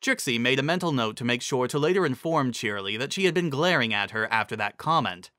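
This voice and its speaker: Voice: mid-range voice, lively intonation, fast talker, pushed and bright, dry recording, clean studio sound. A narrator giving a dramatic, scripted reading of a fantasy story.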